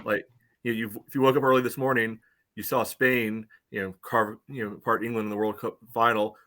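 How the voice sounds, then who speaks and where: A man's voice: lowish voice, flat delivery. A man co-hosting a soccer fan podcast, talking casually with frequent fillers. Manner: quick, bright, lots of fillers. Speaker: podcast co-host. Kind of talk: casual chat.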